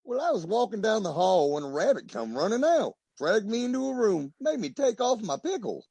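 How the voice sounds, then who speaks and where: A man plays a lonesome, self-pitying tycoon in an old radio comedy. His voice is high and swings wildly up and down as he rambles and boasts about himself. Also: sing-song, fairly quick, vocal fry, a little mellow, slightly rough, no echo, full character voice. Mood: shaken, plaintive. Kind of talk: 1940s radio comedy acting.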